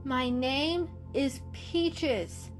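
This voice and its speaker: A woman's voice: sits high, very slow, pitched above the performer's own voice. A woman puts on a wary female calico cat from a storybook. She is guarded, thoughtful and protective, in a voice lifted above plain narration, with faint music.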